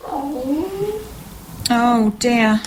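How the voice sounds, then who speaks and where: A woman performs the heroine of an amateur radio mystery, a married lady with a gentle teasing streak. Her voice sits very high and swings freely up and down as she talks. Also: sing-song, very slow, slight background noise, full character voice. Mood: anxious. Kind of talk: amateur radio play acting. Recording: amateur community radio recording.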